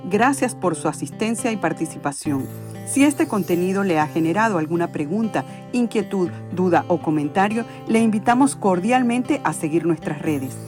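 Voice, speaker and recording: A woman reads a scripted outro in an even, read-out way. Her voice sits low, with clear guitar music underneath. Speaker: announcer.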